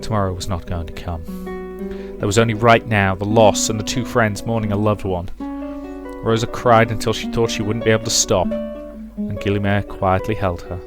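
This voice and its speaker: A man's voice: on the low side, steady tone, moderate pace. A man hosting a let's play, reading a visual novel aloud and commenting on it, with music playing behind him.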